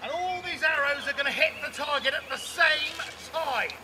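A man runs a longbow show on an open field, barking commands and joking with onlookers. His voice sits very high for a man. A camera catches him from a distance, with heavy outdoor noise around him. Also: lively intonation, normal speed. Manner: loud commanding drill calls, teasing the audience. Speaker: archery display presenter. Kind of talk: outdoor demonstration to a crowd. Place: open field at a medieval fair. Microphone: far camera, no mic.

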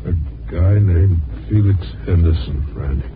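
Over a noisy, tinny old radio recording comes a dying, washed-up newspaperman. He speaks low and haltingly, weak, bitter and wistful, in the manner of 1950s radio drama. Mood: weary, wistful. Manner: halting, broken phrasing.

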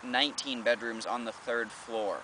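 A man's voice: mid-range voice, fairly even intonation, moderate pace, background noise. A young man co-hosting a travel vlog outdoors on a lawn, casually adding facts and figures, picked up by a camera a few meters away. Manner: informative, adds facts and figures. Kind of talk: casual on-location chat. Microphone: camera mic from a few meters away.

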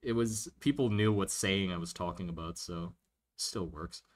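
A man's voice: deep voice, moderate pace. A man chatting casually on a livestream while he draws, thinking aloud and answering viewer questions with many restarts. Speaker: streamer drawing a fantasy world.

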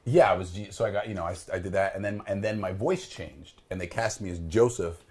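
A man's voice: sits low, brisk, darkish tone, husky. A man in his thirties with a New York accent, rambling and hesitant with many restarts, in comedic banter on a couch. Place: living room, indoors.

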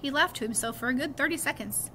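A woman's voice: high voice, quick pace, noisy background. A middle-aged woman reading a story aloud like a teacher, expressively, lifting her voice for characters' lines. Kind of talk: storybook read-aloud, narration with acted dialogue. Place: small cluttered school office, indoors.

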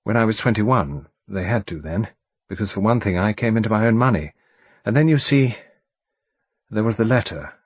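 A man's voice: lowish voice, expressive, moderate pace, breathy edge, no room sound. A man reading an audiobook, voicing an earnest, determined young woman who speaks insistently. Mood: grave. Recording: dull lo-fi recording.

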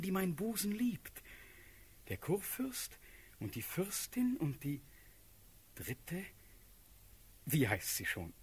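Passionate young prince in an old verse radio drama, highish voice, really husky, declaiming like he's half in a dream. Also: heavily acted. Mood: dreamy, searching. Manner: declamatory, halting, rapt. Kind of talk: classical German stage verse in a radio play.

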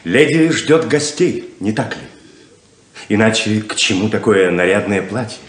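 A self-assured traveling rainmaker for a 1970s radio drama. His voice sits fairly low and moves in lively, theatrical swells as he grandly persuades. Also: measured pace. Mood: grand, persuasive. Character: smooth-talking, self-assured male con-man rainmaker. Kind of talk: 1970s Soviet radio play acting.

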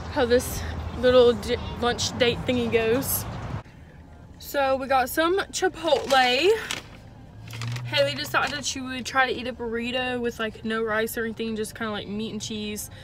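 Young woman, talkative car vlog, dashboard phone mic, light background hum. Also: high voice. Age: young adult. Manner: chatty, rambling, many "um" and "like". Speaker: vlogger. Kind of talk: casual vlog chat. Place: inside a parked and moving car. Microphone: phone camera on the dashboard.

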